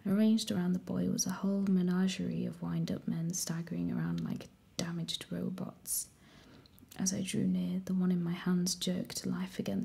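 A woman reading a story aloud in a soft, steady whisper. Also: lowish voice, creaky, breathy edge. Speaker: audiobook reader.

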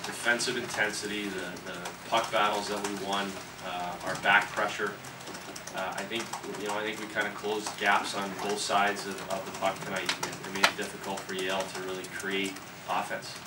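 An earnest coach in his thirties talks through a postgame press conference, restarting his sentences with repeated words. His low, even voice carries the echo of a room and sits at a distance from the table mic. Loud background noise runs under everything. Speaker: college hockey coach. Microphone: table mic from the camera's distance.

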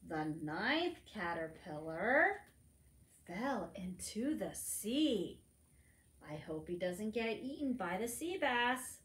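A middle-aged woman reads a picture book aloud to preschoolers in an expressive, teacherly manner, adding simple asides. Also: mid-range voice, slow. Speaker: librarian storytime reader. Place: library room among bookshelves, indoors. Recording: roomy indoor echo.

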